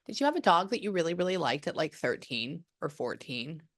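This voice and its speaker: Woman in her 30s, low voice, chatty rambling medium on a video call. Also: sing-song, a bit bright. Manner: chatty and rambling, quick bursts of surprise. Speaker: psychic medium. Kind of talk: live reading session on a video call.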